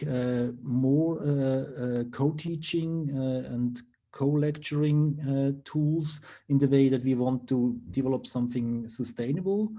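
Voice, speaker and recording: Swiss guy presenting on a video call, mellow low-key voice, lots of uhs and restarts. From Switzerland. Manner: hesitant, many fillers and restarts. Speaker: university lecturer. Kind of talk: online conference presentation.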